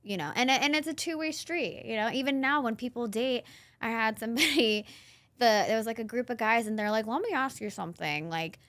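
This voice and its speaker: A woman in her thirties from Northern Virginia, a podcast guest telling her story in a chatty, animated way and laughing often. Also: fairly high pitch, brisk, a little hiss or hum. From Northern Virginia, USA. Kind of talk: casual interview chat.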